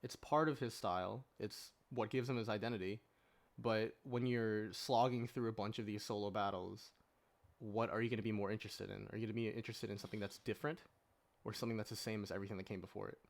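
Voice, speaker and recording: A man's voice: fairly low pitch, steady tone, quick pace, creaky. A man in a casual podcast debate, arguing his points at length with rhetorical questions and plenty of "like". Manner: argues at length with rhetorical questions, frequent filler "like". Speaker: podcast panelist.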